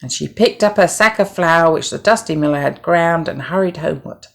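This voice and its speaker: A woman reading a children's story as narrator, with an expressive, deliberate storybook delivery. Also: low-pitched, creaky.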